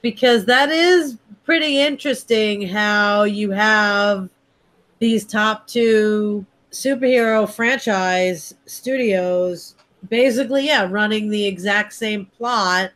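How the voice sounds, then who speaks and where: A woman's voice: mid-range voice, takes it slowly, fairly bright tone. Middle-aged woman musing on a video call. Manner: thoughtful, wandering sentences with restarts. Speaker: co-host. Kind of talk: casual movie-ranking chat. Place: dim room at home. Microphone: webcam on a video call.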